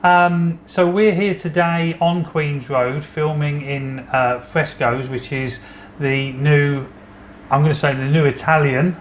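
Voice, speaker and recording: A man's voice: medium pitch, echoey room, slight background noise. A man hosting a casual local interview as an estate agent, rambling with many fillers and restarts. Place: indoors in a new deli.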